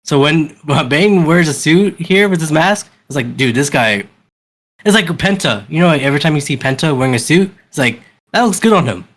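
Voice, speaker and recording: A man's voice: on the high side, expressive, fairly quick, fairly bright tone. A man hosting a casual podcast chat, enthusiastic and restarting his sentences often. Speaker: podcast host.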